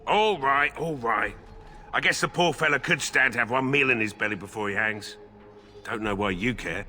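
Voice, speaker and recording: Sharp-voiced grudging guard. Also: male voice, medium pitch, normal speed, soft background music. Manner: grudging, offhand. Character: grudging, grumbling male prison guard. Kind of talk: dramatic video game acting.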